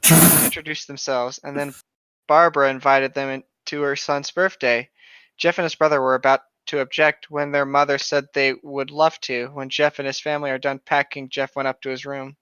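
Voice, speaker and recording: A man's voice: mid-range voice, little pitch movement, moderate pace, fairly bright tone, clean tone, no echo. A young man joking and reading a story as co-host, on a dull lo-fi microphone. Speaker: co-host of a comedic creepypasta reading.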